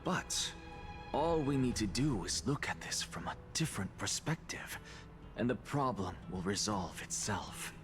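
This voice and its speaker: A man voicing a calm, arrogant undead scholar in a video game, deliberate and condescending, with music behind him. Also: fairly low pitch. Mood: smugly confident.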